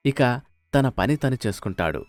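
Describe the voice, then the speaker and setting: A man's voice: mid-range voice, animated, normal speed, a little mellow. A man narrating a scripted moral story as a storyteller, with music behind him. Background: faint music.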